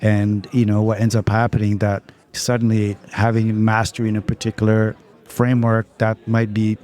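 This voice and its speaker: A man with a hoarse, raspy voice, chatting earnestly as a guest on a podcast, leaning on "you know" fillers. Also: lowish voice, monotone, normal speed, darkish tone. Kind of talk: casual podcast chat.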